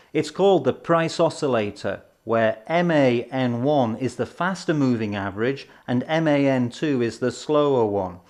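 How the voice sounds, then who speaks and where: A man presenting an educational film in a scripted, measured, explanatory way with emphatic phrasing. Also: mid-range voice, a little mellow. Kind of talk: scripted instructional narration. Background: background music.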